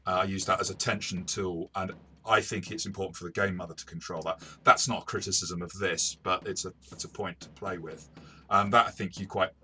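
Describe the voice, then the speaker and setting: A man's voice: deep voice, steady tone, a little fast, bright, forceful tone, slight background noise. A man chatting through a tabletop game review as its game master, hesitant and often repeating words as he goes. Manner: hesitant, stop-start delivery with repeated words.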